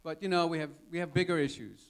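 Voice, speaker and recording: A man's voice: on the high side, fast talker. A man in his fifties speaking informally as a guest in an audience question session at an expo, in a conversational way. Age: 50s. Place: expo stage before a live audience. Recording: live stage talk with audience noise.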